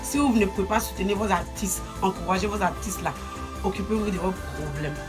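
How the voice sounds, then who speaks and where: A woman's voice: medium pitch, a little fast. A woman casually addressing her followers in a repetitive, emphatic way. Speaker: social media personality. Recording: music playing behind voice.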